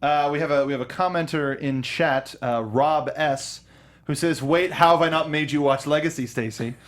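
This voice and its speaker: A man's voice: on the high side, normal speed. A man co-hosting a film review show, talking casually with a fan's enthusiasm. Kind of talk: casual chat and commentary.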